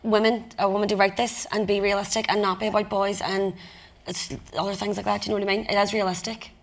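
A young woman with a Northern Irish accent, an actor on a panel telling animated, laughing stories. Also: mid-range voice, fast, vocal fry. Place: conference stage, table mic.

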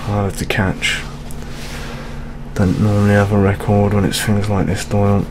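A young British man playing a weary, jaded older police detective in a soft-spoken ASMR roleplay, muttering with gloomy gallows humour. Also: on the low side, monotone, moderate pace, background noise, lightly acted. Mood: wry, weary. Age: young adult.

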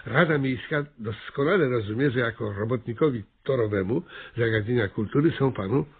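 Thin phone-like radio comedy, a pompous flustered town mayor, husky, officious. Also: male voice, medium pitch, a little fast. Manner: officious, hesitant, exclaiming. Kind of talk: comic radio play acting.

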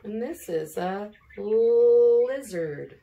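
A middle-aged woman, an elementary teacher leading a read-aloud lesson for young children, speaking deliberately, sounding out words and asking questions. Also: on the high side, lively intonation, gravelly, dark tone. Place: living room at home, seated in an armchair.

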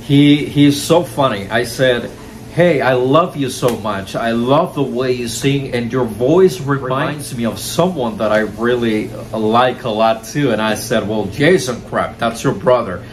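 A young man, speaking at a middling pitch to a nearby camera from a couch indoors. He tells his story eagerly and showers praise on the people he met. A steady hiss of noise sits under his voice. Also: a little slow. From Cuba. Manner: effusive, enthusiastic, heaps praise. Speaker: show host. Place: indoor room, seated on a couch.

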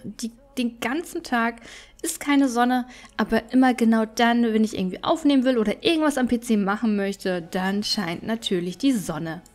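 A woman's voice: on the high side, normal speed, a little mellow. A woman giving casual, chatty gameplay commentary, thinking aloud as she plays. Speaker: let's player.

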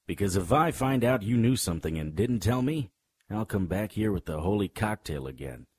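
Deep, husky hard-boiled detective. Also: male voice, expressive, normal speed, a little mellow, dry recording, studio quality. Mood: sardonic, threatening. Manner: sardonic, tough-talking. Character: hard-boiled male private detective, sardonic and threatening. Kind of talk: noir radio drama acting and narration.

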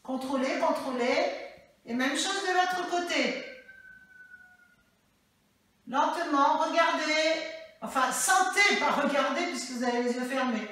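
A middle-aged woman leading a gentle balance exercise class, calm and instructive, often adding "hein", filmed by a distant camera in a bare room. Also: high voice, measured pace, pauses often, heavy reverb. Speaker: fitness instructor. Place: bare living room with hard floor, indoors. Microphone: far camera.

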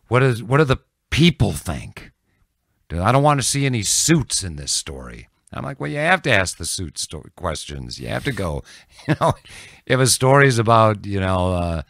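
An older man in his sixties with an extremely deep voice that crackles with vocal fry. He talks candidly and haltingly, as in a relaxed interview. The sound is dry and close to the microphone. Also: dry recording. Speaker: news anchor and reporter, podcast guest. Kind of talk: casual reflective interview answers. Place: small dim indoor podcast room. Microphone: close studio microphone on a boom.

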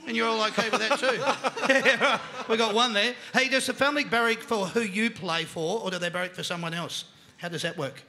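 Cheery Australian host with gravelly high voice. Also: male voice, fairly quick, few pauses, bright, forceful tone, a little hiss or hum. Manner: cheery, joking, quizzing guests. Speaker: panel show host. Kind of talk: live panel show hosting. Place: indoor venue stage with a live crowd. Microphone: handheld mic.

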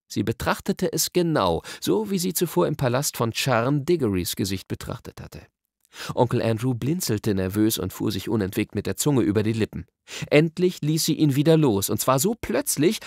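A man's voice: medium pitch, gravelly, dry recording, studio quality. A man reading a children's fantasy novel aloud as an audiobook narrator. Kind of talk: scripted storybook narration.

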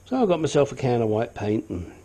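A man's voice: medium pitch, very animated, quick pace, dark tone. An older man with a sniffly nose giving a blunt, chatty walkthrough of his engine work in a home garage. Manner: blunt, chatty, self-deprecating. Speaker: hobbyist engine restorer. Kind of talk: casual workshop walkthrough. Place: cluttered home garage workshop.

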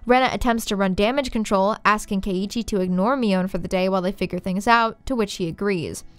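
A woman's voice: medium pitch, fairly quick, smooth, no echo. A young woman narrating a scripted story recap in a sardonic, informal, swearing style. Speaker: video essayist, narrator. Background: faint music.

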